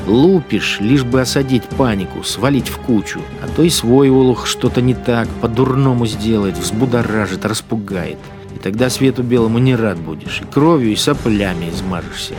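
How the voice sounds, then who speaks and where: A man's voice: medium pitch, normal speed, over music, natural voice. An actor in a Russian radio drama playing a cynical, menacing collaborator policeman who muses in mocking, colloquial speech. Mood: matter-of-fact musing. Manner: colloquial, mocking, musing to himself.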